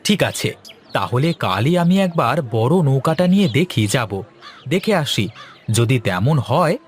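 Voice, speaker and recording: Man voicing an animated village shopkeeper brother. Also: mid-range voice, measured pace, soft background music. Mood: considerate. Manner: expressive, agreeable. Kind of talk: Bengali cartoon voice acting.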